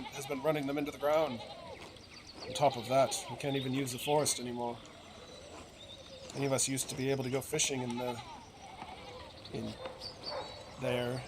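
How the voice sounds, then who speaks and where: Village gossip for a game, read by a man: earnest, talkative, folksy, emphatic, medium pitch, over noticeable animal ambience. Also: level tone, moderate pace, long pauses, background noise, lightly acted. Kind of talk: game dialogue read aloud in character.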